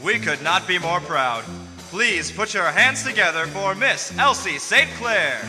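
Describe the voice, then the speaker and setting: A man's voice: high-pitched, expressive, a little slow. A man playing a showy 1940s radio announcer, formal and grandly projected like a showman. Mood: grand, welcoming. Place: theater stage before live audience. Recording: echoey theater hall, music behind.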